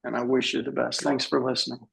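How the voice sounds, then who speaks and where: A man in his sixties with a husky voice, earnestly telling his own patient story and advising listeners. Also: medium pitch, little pitch movement, quick pace, a bit bright, rough recording. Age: 60s. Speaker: patient panelist. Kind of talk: sharing a personal story on a video panel.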